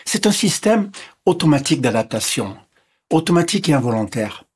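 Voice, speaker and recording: A man whose first language is English, speaking as a physician guest and explaining step by step in a measured way that lists and repeats points. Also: a little high, big pitch swings, breathy edge. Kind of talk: explanatory interview talk.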